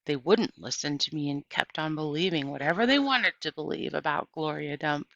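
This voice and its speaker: A woman narrates a story aloud in a low, bright, forward voice. She reads in an animated storytelling manner, as for an audiobook. The recording is dry and a little dull. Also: normal speed, creaky, no echo. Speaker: audiobook reader.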